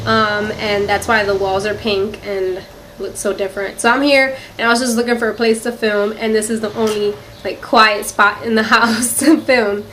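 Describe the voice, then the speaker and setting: A woman's voice: medium pitch, steady tone, moderate pace, a bit bright, a little hiss or hum. A young woman with a Philadelphia accent, chatting casually to camera in a rambling way, with lots of "um" and "like". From Philadelphia, USA. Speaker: beauty vlogger. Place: quiet room in a house.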